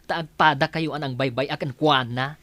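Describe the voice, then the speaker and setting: A woman's voice: low-pitched, fairly even intonation, normal speed, a bit bright, dry recording. A woman playing an indignant, blunt foster mother in a radio drama, scolding in an emphatic, confrontational way. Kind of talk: Ilocano radio drama acting.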